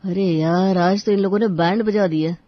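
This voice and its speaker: A woman's voice: medium pitch, fairly even intonation, normal speed, no room sound, highs cut off, lightly acted. A woman voicing grumbling young males of a family in a cartoon story, with a complaining manner.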